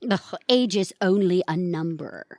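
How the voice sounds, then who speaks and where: A woman acting a sarcastic, exasperated middle-aged wife in a comedy audio drama, with a sharp, dry manner. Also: on the low side, expressive, unhurried, dry recording. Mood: dry, cool.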